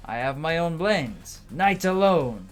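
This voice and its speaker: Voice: mid-range voice, lively intonation, unhurried, pitched above the performer's own voice, lightly acted. A game player voicing a suave, courtly bartender with a theatrical, savoring delivery. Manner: theatrical, savoring, ends lines on tag questions. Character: suave, courtly bartender, devoted craftsman and romantic. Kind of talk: amateur game dialogue voice-over. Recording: music behind the voice.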